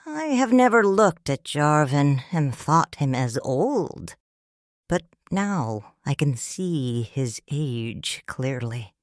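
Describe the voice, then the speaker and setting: Casting: a woman voicing a sorrowful widowed noblewoman, very low voice with an expressive lilt, slow first-person narration, dry close sound. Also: no room sound, heavily acted. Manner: slow, hushed storytelling. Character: grieving widowed noble mother, quiet and restrained.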